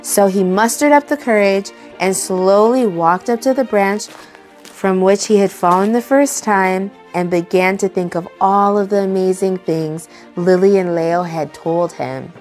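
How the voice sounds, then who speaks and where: A young adult woman hosting children's storytime, reading aloud in an animated, expressive way and enunciating for young listeners. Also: mid-range voice, unhurried, faint music behind, poor audio.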